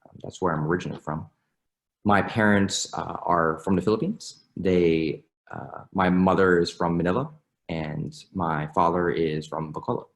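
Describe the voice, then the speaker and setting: A man from Florida, an emergency physician speaking in a steady, measured way with some fillers. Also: sits low, creaky. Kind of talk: video testimonial.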